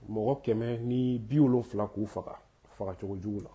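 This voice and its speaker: A man, hoarse from a cold, hosting a live talk in French and Bambara with many hesitant fillers. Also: fairly low pitch, normal speed, warm tone. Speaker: host of a live online talk.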